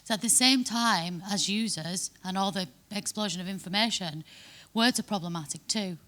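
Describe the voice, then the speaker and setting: Mancunian woman speaking at a conference, chatty, keeps going "yeah?", mid pitch. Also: normal speed, bright, forceful tone. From Manchester, England. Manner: chatty, frequent tag "yeah?" and restarts. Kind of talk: conference talk. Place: conference hall.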